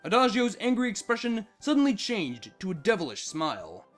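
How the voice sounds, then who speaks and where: Animated fiction narrator, mid-range voice between male and female, soft music underneath. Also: medium pitch, a little fast. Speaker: narrator, fan fiction reader. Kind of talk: expressive scripted storytelling narration.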